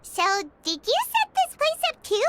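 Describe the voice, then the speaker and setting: A woman voicing a tiny, curious fairy companion in a game, with a squeaky childlike voice and an excitable, bouncy way of talking. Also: unhurried, very noisy, exaggerated voice. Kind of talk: game voice acting. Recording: studio game audio with music.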